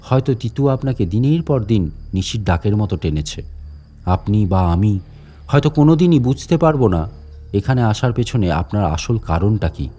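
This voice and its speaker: A man's voice: fairly low pitch, very animated, moderate pace, warm tone. A man playing a menacing old caretaker in a horror audiobook, a grieving, vengeful father who speaks with polite deference and sly questions. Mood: quietly menacing. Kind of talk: dramatic audiobook horror monologue.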